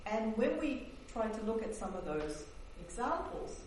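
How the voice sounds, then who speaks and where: A woman's voice: on the high side, big pitch swings, measured pace, a little mellow. Hesitant woman historian in echoey hall. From Netherlands, raised in New Zealand. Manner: hesitant, many fillers and restarts. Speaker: historian presenting her book. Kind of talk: academic book talk. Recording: echoey hall, distant mic, faint hiss.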